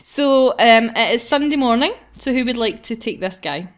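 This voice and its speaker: A woman's voice: fairly high pitch, lively intonation, moderate pace. A woman hosting a casual group let's play, chatting and reading game text aloud in a playful, giggly way. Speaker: gaming channel host.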